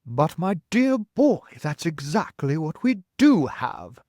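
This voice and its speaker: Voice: medium pitch, big pitch swings, dry recording, clean studio sound, pitched above the performer's own voice. A storybook reader voicing an old, wise green grasshopper who speaks sharply. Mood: eager. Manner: brisk, sharp.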